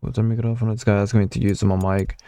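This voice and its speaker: A man's voice: lowish voice, level tone, fast, warm tone, clear, resonant tone, no echo. A young man of about nineteen, rambling casually with lots of fillers and the odd swear as he looks back through old console messages. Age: young adult. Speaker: gaming vlogger. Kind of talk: casual reminiscing commentary.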